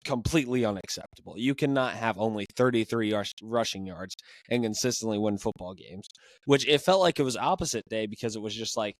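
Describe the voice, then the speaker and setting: Hoarse young man, casual football rant, somewhat low voice, dry sound. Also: brisk, no echo. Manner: animated, exasperated fan ranting. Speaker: podcast host. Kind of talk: casual sports analysis.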